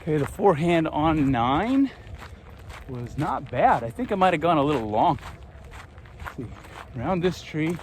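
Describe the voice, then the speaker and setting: A man vlogging outdoors on a desert course, thinking aloud in a relaxed way as he walks between shots. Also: a little high, normal speed, long pauses, slight background noise. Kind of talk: casual walk-and-talk commentary. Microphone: handheld phone and gimbal camera.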